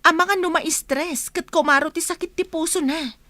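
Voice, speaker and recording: A woman's voice: very high voice, steady tone, moderate pace, pushed and bright, no echo. A woman playing an earnest, secretive household helper in a radio drama, urgent and conspiratorial. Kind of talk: Ilocano radio drama acting.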